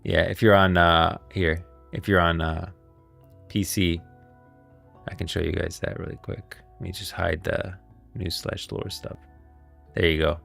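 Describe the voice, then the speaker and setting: A man's voice: low voice, flat delivery, a little slow, creaky, pauses often, a bit bright, faint music behind. A man hosting a livestream, musing informally about game lore and chatting with viewers. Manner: informal, musing, talks to chat. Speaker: streamer host.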